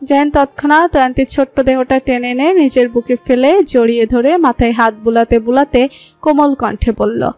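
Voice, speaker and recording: High-voiced woman narrating a love story. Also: moderate pace, no room sound. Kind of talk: scripted narration of a romantic story. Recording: soft background music.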